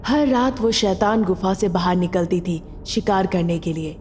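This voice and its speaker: A woman's voice: mid-range voice, steady tone, normal speed, a little mellow, in a large hall, a little hiss or hum. A woman narrating a scripted story as a storyteller.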